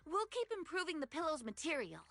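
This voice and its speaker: An eager young woman shopkeeper from an anime game. Her voice is high and very lively in pitch, with a polite, apologetic customer-service manner. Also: fairly quick, gravelly, dry recording, full character voice.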